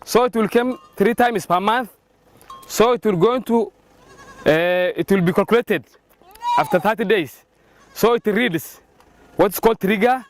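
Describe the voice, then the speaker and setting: A man explaining something in an interview, haltingly and step by step. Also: high voice, a little hiss or hum.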